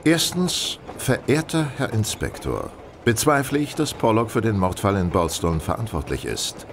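An actor playing a self-assured male gentleman detective, speaking formally and precisely in a radio play. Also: big pitch swings, moderate pace. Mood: precise, superior. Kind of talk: German radio play dialogue. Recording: train rumble behind the voice.

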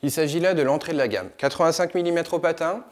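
A man's voice: a little high, fast. A man in his thirties, a ski shop advisor, giving a scripted, enthusiastic product presentation in a small indoor studio. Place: indoor studio with skis on a wall.

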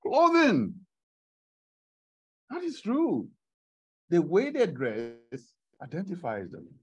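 A man's voice: high voice, huge pitch swings, husky, highs cut off. A middle-aged man preaching a sermon to a congregation in a church meeting room, asking them questions as he goes, heard from a camera at the back. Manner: unhurried, asks the congregation questions. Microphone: far camera at the back of the room.

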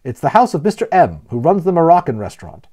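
A man's voice: fairly high pitch, sing-song, fast talker, warm tone, pitched above the performer's own voice. A man voicing a genial, talkative man who explains things proudly and playfully as a guide in a storybook. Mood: enthusiastic. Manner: explanatory, emphatic, playfully wordy.

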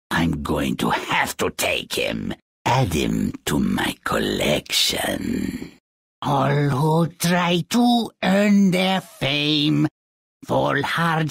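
An actor voicing a cruel, bargaining imp in a video game, raspy and theatrical. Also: medium pitch, no echo, clean studio sound, full character voice. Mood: gloating.

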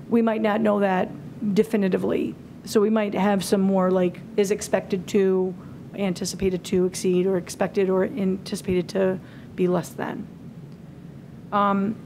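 A woman's voice: medium pitch, a little mellow. Woman, 40s, leads a town committee meeting at a table mic, thinks aloud, faint hum behind. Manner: thinking aloud with many fillers and restarts. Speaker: committee leader presenting a scoring rubric. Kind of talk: public meeting presentation. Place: town hall auditorium. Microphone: table microphone.

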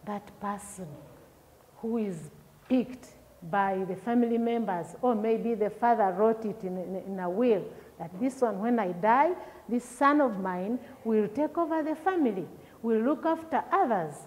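A middle-aged woman with a Ugandan accent teaches a class in a fairly high voice, recorded from a camera a few meters away. She explains patiently, checks in with questions and repeats key words. Her voice carries a long reverb from the room. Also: unhurried, darkish tone. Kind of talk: recorded classroom lesson for pupils. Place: classroom with projector screen.

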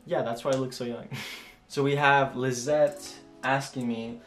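A young man in his twenties talks casually and rambles, with fillers and restarts as he answers viewer questions. His voice sits at an ordinary mid pitch, and the small room around him rings with noticeable echo. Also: steady tone, a little slow, a bit bright, faint music behind. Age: 20s. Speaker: flight attendant vlogger. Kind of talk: casual Q&A chat. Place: small home room indoors.

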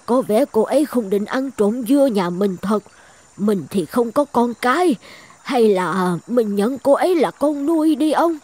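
High-voiced kindly old village wife. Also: female voice, expressive, a little slow, a little mellow. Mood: hopeful, coaxing. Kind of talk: animated folk tale dubbing.

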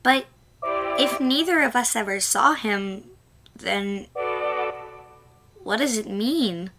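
Woman as a calm, slow-speaking young human. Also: fairly high pitch, over music, rough recording. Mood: puzzled. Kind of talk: understated comic dub acting.

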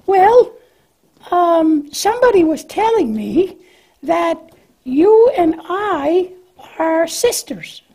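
A woman's voice: extremely high pitch, very animated, slow pace, mellow, exaggerated voice. A woman voicing a cheerful, naive young lamb in a children's church puppet show, hesitant and questioning in a childlike way. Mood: puzzled, chatty.

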